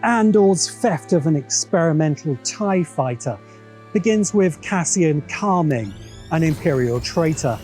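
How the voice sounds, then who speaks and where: Male essay narrator, mellow and a touch high-pitched, sardonic and opinionated, music bed underneath. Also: lively intonation, a little slow. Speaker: host of a science fiction commentary show. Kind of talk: scripted video essay narration. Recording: music behind the voice. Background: background music.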